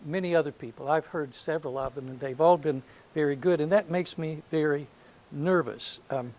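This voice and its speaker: An older man from North Alabama with a soft voice, giving a reflective lecture to an audience, hesitant and full of ums, with gentle self-deprecating humor. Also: a little high, normal speed. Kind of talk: last lecture, reminiscence. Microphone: handheld or podium microphone.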